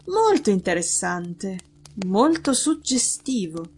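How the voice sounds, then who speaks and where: A woman reader voicing a keen, inquisitive male detective with a measured, probing manner, in an audiobook story. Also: mid-range voice, very animated, a little mellow, slightly rough, exaggerated voice. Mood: pensive.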